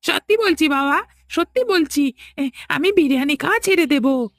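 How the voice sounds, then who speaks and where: Woman voicing a very high, pleading cartoon boy. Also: expressive, normal speed, fairly bright tone, dry recording, studio quality. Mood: evasive, anxious. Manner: pleading, anxious, childlike. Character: young village son, pleading and craving biryani. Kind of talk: horror cartoon voice acting.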